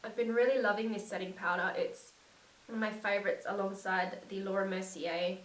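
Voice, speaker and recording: A young woman with a slightly croaky voice from a cold, narrating a makeup tutorial and gushing softly about the products. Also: medium pitch, steady tone, brisk, far from the mic. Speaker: beauty vlogger. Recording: echoey room, set back from mic.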